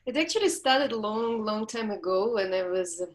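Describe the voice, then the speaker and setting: A woman's voice: mid-range voice, animated, moderate pace. Ukrainian woman, laughing often. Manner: warm, laughs often, searching for words. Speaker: relationship coach, guest. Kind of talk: reflective interview talk.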